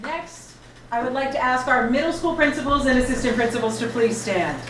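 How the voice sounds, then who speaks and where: Woman emceeing an awards thing, higher voice, echoey noisy room. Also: a little fast. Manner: upbeat, formal announcing. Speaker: event emcee. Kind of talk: formal awards ceremony hosting. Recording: echoey hall from far off, noisy.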